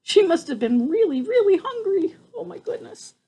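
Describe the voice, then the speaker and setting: An older woman reading a picture book aloud to a child, expressive, with casual asides and exclamations. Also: very high-pitched, moderate pace.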